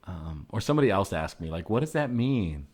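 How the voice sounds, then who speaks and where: A man's voice: low-pitched, sing-song, fairly quick, darkish tone. A man playing an eager, earnest, rambling female tattoo customer in an improvised comedy sketch. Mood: mildly puzzled.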